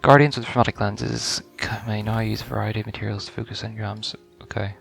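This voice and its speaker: A man's voice: lowish voice, steady tone, quick pace, gravelly, slightly rough, soft background music. A man giving casual first-reaction gameplay commentary, informal and stumbling a little as he reads game text aloud. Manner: informal, stumbles over words when reading aloud. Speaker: gaming video creator.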